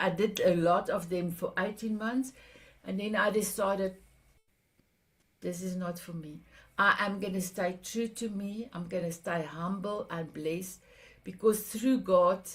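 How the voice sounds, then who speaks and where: A South African woman hosting an interview, speaking earnestly with frequent halts and restarts. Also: medium pitch. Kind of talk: conversational interview.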